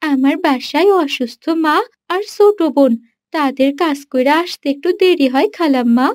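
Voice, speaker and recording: Woman playing a high-voiced, humble village girl. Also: moderate pace, no room sound, studio quality, close to an everyday voice. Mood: humble pleading. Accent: rural Bangladeshi. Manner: plaintive, humble. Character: poor, dutiful village girl, pleading and sorrowful.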